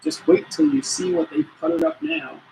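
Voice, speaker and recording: A man's voice: very high voice, normal speed, creaky, a little mellow, slight background noise, voice pushed up, full character voice, rough recording. A man voicing a boastful, jolly chief commander who gives grand, encouraging rhyming orders in a storybook reading. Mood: gleeful, rallying.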